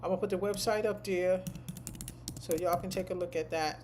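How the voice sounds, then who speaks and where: A woman with a deep voice, a medical coding instructor, chatting casually to her class on a live stream, rambling and repeating points for emphasis. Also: fairly even intonation, a little fast, noisy background.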